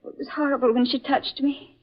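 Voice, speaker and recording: A frightened young woman in an old radio thriller. Her delivery is breathy and husky, heavy with theatrical dread. The recording is dull and hazy, like a 1940s broadcast. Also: high voice, little pitch movement, a little fast. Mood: horrified. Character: frightened young woman at sea, recounting horrors.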